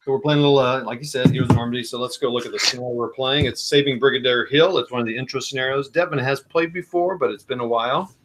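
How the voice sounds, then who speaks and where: Dude walking thru wargame rules, lotsa ums, mid pitch, chill. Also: male voice, fairly even intonation, a bit bright, slight background noise, poor audio. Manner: unhurried, many ums, thinks aloud over rules. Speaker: wargaming stream host. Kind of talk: casual chat, explaining a board game. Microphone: computer mic at his desk.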